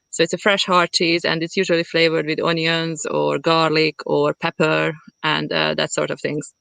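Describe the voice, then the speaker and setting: A woman from Hungary with a Hungarian accent, chatting as an interview guest in a halting way as she searches for words. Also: low-pitched, gravelly, a bit bright, dry recording. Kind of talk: casual conversational interview. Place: at home in the countryside.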